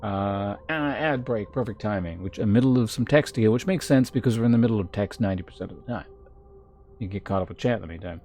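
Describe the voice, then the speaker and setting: A man streaming a game, chatting casually with viewers and thinking aloud in a halting way. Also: lowish voice, darkish tone, a little hiss or hum. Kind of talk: casual live-stream chat.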